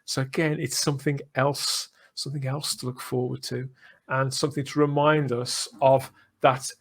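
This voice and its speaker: A man's voice: medium pitch, flat delivery, moderate pace, fairly bright tone. A British man hosting a casual fan podcast, chatty and often repeating phrases as he builds a point. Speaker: podcast host.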